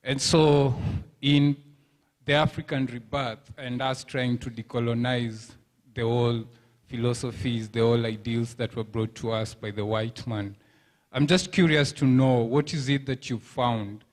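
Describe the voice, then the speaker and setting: A man's voice: mid-range voice, steady tone, measured pace. A man from Kenya in the audience, asking a thoughtful question and searching for his words. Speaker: audience member.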